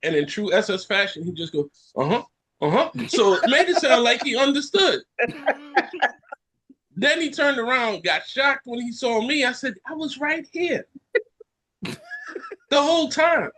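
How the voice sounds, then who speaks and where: A man co-hosting a podcast tells a story with lots of expression. His voice is bright and a little breathy, and his pitch swings widely as he acts out what other people said. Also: measured pace, long pauses, rough recording. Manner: animated storyteller who acts out other people's lines and laughs mid-sentence. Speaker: podcast co-host. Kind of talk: casual chat, storytelling.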